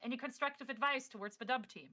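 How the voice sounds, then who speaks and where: A woman's voice: sits high, fast, sharp tone. A woman chatting casually as a panellist on a livestream. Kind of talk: casual chat.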